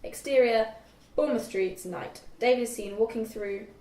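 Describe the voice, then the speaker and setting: A woman's voice: on the high side, darkish tone. Young woman narrator in echoey room. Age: young adult. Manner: halting, stumbles over the text. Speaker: narrator of screen directions. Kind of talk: scripted narration at a table read.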